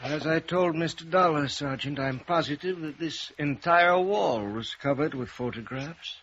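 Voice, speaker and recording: An actor playing an elderly, genteel former film director who speaks hesitantly and rambles, with a husky, creaky voice, in a vintage radio drama. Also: male voice, a little high, unhurried, fairly bright tone, slight background noise. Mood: hesitant, reminiscing. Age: older. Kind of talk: 1950s radio drama acting. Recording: dull vintage radio recording.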